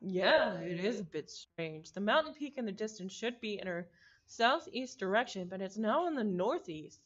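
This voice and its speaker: Woman putting on a lowered, serious, puzzled traveler voice. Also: expressive, moderate pace, muffled recording, lightly acted.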